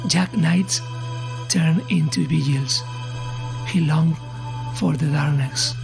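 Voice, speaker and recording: A man reading scripted horror narration in a suspenseful, often breathy manner. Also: a little high. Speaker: story narrator.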